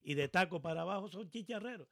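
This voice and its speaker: A man with a fairly high voice and a Canary Islands accent, in a dry, close recording. He chats colloquially, tells anecdotes with quoted dialogue and has a teasing way about him. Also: lively intonation, quick pace, gravelly, no echo. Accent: Canary Islands Spanish. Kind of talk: casual anecdotal chat.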